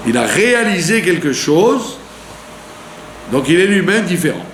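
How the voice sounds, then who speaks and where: Man, rabbi, sermon, fairly high voice, lively intonation, echoey room. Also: unhurried. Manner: animated teaching, with rhetorical questions and frequent "d'accord?". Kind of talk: Torah lesson sermon. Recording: echoey room with faint hum.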